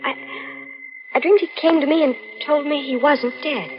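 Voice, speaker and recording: An actress playing a solicitous young fiancée in an old radio drama, earnest and soothing as she gently probes. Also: high-pitched, moderate pace, faint music behind, lightly acted. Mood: gently probing. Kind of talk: 1940s radio drama acting. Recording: muffled, faintly noisy old radio recording.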